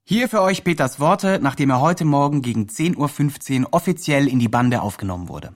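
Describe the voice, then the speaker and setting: A man's voice: medium pitch, animated, moderate pace, close to an everyday voice. An actor playing an earnest activist who narrates his friend's progress in the first person in a radio play. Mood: proudly announcing.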